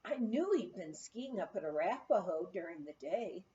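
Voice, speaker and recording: A woman narrating a written memoir as an audiobook reader, with expressive storytelling. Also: lowish voice, normal speed, muffled recording.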